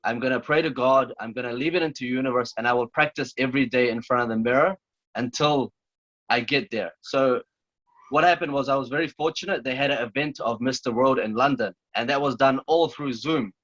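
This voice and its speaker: A man's voice: mid-range voice, a little fast, fairly bright tone, no echo, lo-fi sound. A man from Chechnya, a guest in a casual video-call interview, talking in an earnest, motivational way and often repeating himself.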